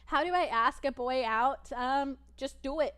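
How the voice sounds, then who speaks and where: A young woman streaming and chatting casually, rambling and giggling, with a naturally soft voice that is tired from long streaming. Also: high-pitched, measured pace, clean tone. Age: young adult.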